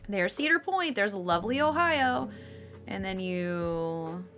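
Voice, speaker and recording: A woman streaming live, chatting casually with her viewers and laughing often, her voice a little raspy. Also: mid-range voice, animated, slow pace, a bit bright, soft background music. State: slightly raspy voice.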